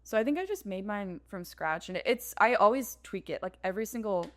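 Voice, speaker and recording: A young woman with a deep, low-register voice chats casually as a guest on a podcast. She talks about music production in a loose, filler-heavy way at a middle pitch for a woman. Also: lively intonation, a little fast, fairly bright tone. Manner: chatty, peppered with fillers.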